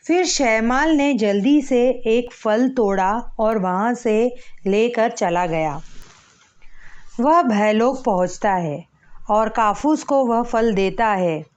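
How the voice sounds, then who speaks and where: Female storyteller for scripted children's stories. Measured pace, somewhat high pitch, lo-fi recording. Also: darkish tone.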